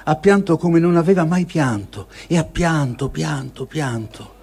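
A man giving a solemn, measured dramatic reading of a first-person diary, with feeling and deliberate pauses. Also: fairly high pitch, animated, vocal fry, a little mellow, slightly rough. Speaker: reader of a testimony.

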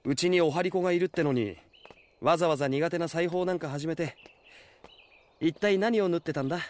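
An actor playing a casual, confident, teasing husband in a Japanese audio drama. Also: male voice, on the high side, fairly quick, a little mellow, lightly acted.

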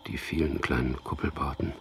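An actor in a German radio drama playing a resolute man on a secret mission, narrating deliberately and introspectively in a gravelly, husky, hushed voice. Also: extremely low pitch, little pitch movement, slight background noise. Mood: tense recollection. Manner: deliberate, introspective, recounting events.

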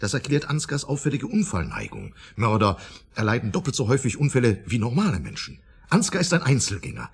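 An actor playing a smug, probing psychology professor in a German radio drama, lecturing insistently in a husky, gravelly voice. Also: male voice, mid-range voice, huge pitch swings, fast talker, exaggerated voice. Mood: excited, lecturing. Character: smug, probing male professor of psychology, theorizing about everyone.

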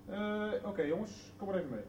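An actor playing a composed, businesslike police detective in a radio soap, speaking with measured authority. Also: male voice, high-pitched, lively intonation, a little mellow. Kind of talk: 1990s radio soap acting.

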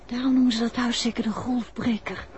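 A woman's voice: on the high side, fairly even intonation, darkish tone, natural voice. A woman acting a detective's uneasy, observant wife in a 1960s Dutch radio drama, speaking hesitantly. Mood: hushed, uneasy. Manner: slow, hesitant, repeating words. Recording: noisy old 1960s radio recording.

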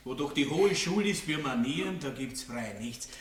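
An actor playing a coarse, self-satisfied country baron in a radio play, with a Viennese accent and pompous, leering bluster. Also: male voice, fairly high pitch, lively intonation, normal speed, close to an everyday voice. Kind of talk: German-language radio play acting. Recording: roomy, distant, lightly noisy old radio recording.

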